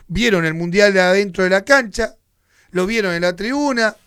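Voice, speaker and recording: Uruguayan guy on sports radio, high voice, dry close sound, ranting all heated and indignant. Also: normal speed, fairly bright tone, clear, resonant tone, dry recording. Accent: Uruguayan Spanish. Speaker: radio sports show host. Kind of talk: opinionated sports commentary. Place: radio studio.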